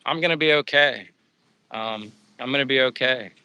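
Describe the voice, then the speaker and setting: Pennsylvania man, livestream host, very bright, smooth, dry close sound, rambling and upbeat. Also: mid-range voice, moderate pace, no echo. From United States. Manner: rambling, upbeat, thanking viewers. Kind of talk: casual livestream chat reading viewer comments. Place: living abroad.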